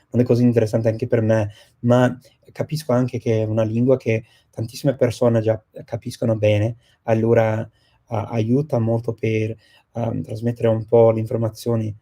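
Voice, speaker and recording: Host voice: native English-speaking man, very dark and mellow tone, flat delivery, halting as he hunts for words. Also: fairly low pitch. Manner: halting, often pausing to search for words. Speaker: host of a discussion on multilingual events. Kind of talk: casual live group chat.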